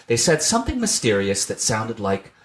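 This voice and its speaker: A man narrating a children's storybook in an expressive, scripted reading style. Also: on the low side, normal speed. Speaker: storybook reader.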